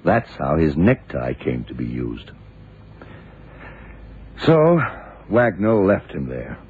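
An actor playing a blind detective in a 1970s radio drama, a gruff, commanding mentor who explains things deliberately with authority. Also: male voice, extremely low pitch, wildly swooping pitch, exaggerated voice. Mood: explaining, deliberate. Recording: tinny, narrowband old radio recording.

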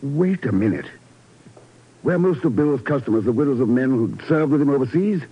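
An actor playing a calm, shrewd investigator in a 1950s radio drama, setting out plans with assurance. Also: male voice, mid-range voice, moderate pace, husky. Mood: thoughtful. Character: calm, shrewd male investigator laying a trap. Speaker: lead actor. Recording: dull vintage radio broadcast recording.

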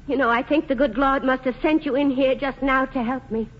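A woman's voice: high-pitched, fairly even intonation, fairly quick. An actress playing a shrewd, plucky small-town mother in a radio play, speaking in a folksy, firm way. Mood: urgent, resolved. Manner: folksy, plain-spoken, firm. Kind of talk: 1940s radio play acting. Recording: muffled, noisy 1940s radio recording.

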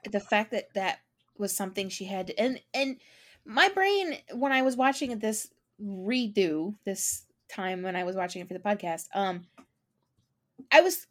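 A woman co-hosting a podcast in casual chat, talking with eager enthusiasm and frequent quick restarts. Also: medium pitch, lively intonation. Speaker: podcast co-host.

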